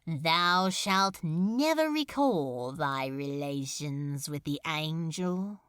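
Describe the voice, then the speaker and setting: A woman's voice: lively intonation, very slow, a bit bright, clean tone, no room sound, studio quality, full character voice. A woman voicing a cruel male demon lord in a story reading, intoning archaic speech with grave, gloating menace. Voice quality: deep, grave, buzzing. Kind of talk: dramatic audiobook character voice.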